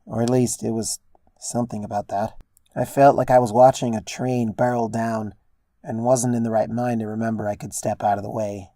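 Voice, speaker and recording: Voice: medium pitch, level tone, moderate pace, mellow, dry recording, clean studio sound. A narrator reading a first-person story as scripted narration. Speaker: fan fiction narrator.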